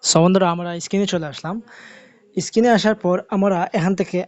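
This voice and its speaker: A man's voice: high voice, fairly even intonation, quick pace, no echo, highs cut off. A man narrating a how-to video as a tech host, in repetitive, instructional step-by-step phrasing.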